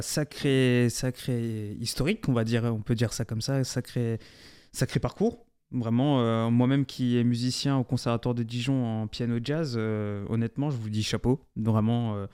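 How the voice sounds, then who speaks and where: A young man hosting a local radio interview, polite and halting, often repeating himself. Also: medium pitch, dark tone, clear, resonant tone. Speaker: radio host.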